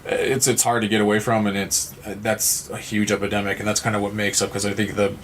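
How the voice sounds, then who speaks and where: A man with a fairly low voice gives conversational interview answers. He starts, stops and repeats words as he works toward his point. Faint noise and room ambience sit behind him. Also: flat delivery, fairly bright tone. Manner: halting, repeats words and restarts. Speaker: guest from a suicide-loss nonprofit.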